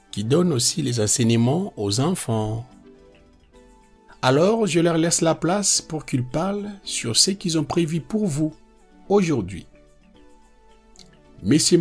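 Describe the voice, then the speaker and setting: Husky, lively cartoon father, voiced by a man. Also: mid-range voice, heavily acted. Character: welcoming father who teaches children Bible stories.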